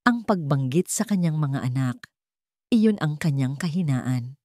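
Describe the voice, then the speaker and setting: Female narrator for dramatic audio fiction, lowish voice, dry close sound. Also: animated, a little slow, warm tone, no echo. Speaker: story narrator.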